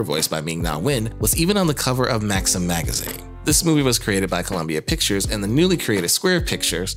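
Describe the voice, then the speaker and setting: Lively, wry man over music. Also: fairly low pitch. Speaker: video host and narrator. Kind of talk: scripted video essay commentary with casual asides. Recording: music under the voice. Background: background music.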